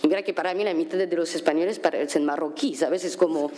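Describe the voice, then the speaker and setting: A woman's voice: low voice, quick pace, darkish tone. A woman who grew up in France, speaking at a conference panel in a frank, conversational way full of filler phrases. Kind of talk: candid panel talk.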